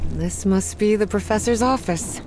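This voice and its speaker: A woman's voice: mid-range voice, normal speed, noisy background, close to an everyday voice. An actress playing a curious, impressionable young woman who thinks aloud in a video game. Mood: curious, musing. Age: young adult. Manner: inquisitive, self-talking. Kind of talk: dramatic video game acting, musing aloud.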